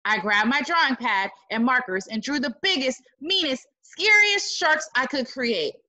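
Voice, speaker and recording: A woman reads a storybook aloud with big, dramatic expression. Her voice is high and bright, and she takes her time, breaking off for exclaiming asides to young listeners. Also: lively intonation, a little slow. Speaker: teacher reading a picture book aloud. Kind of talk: animated read-aloud with asides to children.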